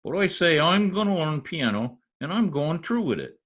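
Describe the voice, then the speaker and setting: An actor playing a cocky, bumbling husband with folksy slang, in old-time radio comedy style. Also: male voice, a little high, sing-song, moderate pace, a bit bright, full character voice. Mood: determined bluster. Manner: folksy slangy speech, blustering. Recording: husky-sounding video call recording with music.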